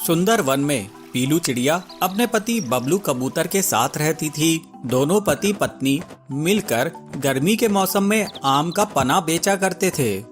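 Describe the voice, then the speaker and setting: Highish-voiced male cartoon story narrator. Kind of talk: scripted children's cartoon narration.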